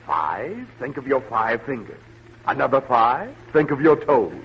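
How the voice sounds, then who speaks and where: An actor playing a fussy, earnest, officious doctor who is easily provoked, in an old radio comedy. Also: male voice, medium pitch, very animated, unhurried, slightly rough, exaggerated voice. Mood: patient, officious. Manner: officious, indignant. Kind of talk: 1940s radio comedy acting. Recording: muffled, hissy old radio recording.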